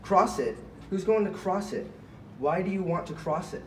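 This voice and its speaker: A man's voice: high voice, expressive, moderate pace, pitched above the performer's own voice. A man voicing a brave, hard-headed rabbit in an amateur puppet show, blunt and impatient. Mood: indignant, urgent. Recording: echoey, noisy room, far from mic.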